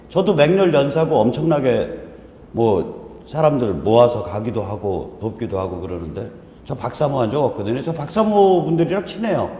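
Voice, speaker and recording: Joking man in his fifties, echoey hall. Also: medium pitch. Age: 50s. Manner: joking, self-deprecating, animated. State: congested, strained throat. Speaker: host. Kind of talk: casual political talk show banter. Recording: roomy hall echo, faint noise.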